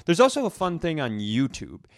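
Male podcast co-host, lively swooping delivery, rambling and profane, close dry sound. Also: a little high, normal speed, dry recording. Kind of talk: casual comedic podcast chat.

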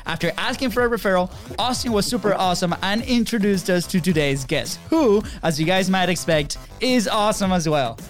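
High-voiced upbeat podcast host man. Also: lively intonation, fairly quick, hardly any pauses, faint music behind. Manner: upbeat, laughs often, many fillers. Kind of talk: casual podcast hosting.

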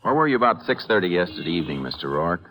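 An actor playing a calm, terse American Texas Ranger in a 1950s radio drama, speaking with deadpan authority. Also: male voice, low-pitched, very fast. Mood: stern, probing. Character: calm, commanding male Texas Ranger investigator. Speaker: lead actor and narrator. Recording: vintage 1950s radio broadcast recording.

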